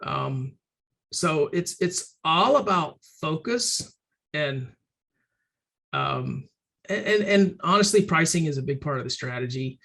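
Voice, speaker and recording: Guy on a webinar, bit hoarse, higher voice, rambles with lots of ums. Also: huge pitch swings, slow pace, a bit bright. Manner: loose and conversational, many ums and restarts. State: slightly hoarse, clearing throat. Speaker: webinar presenter for a firm advisory program. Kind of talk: casual webinar talk.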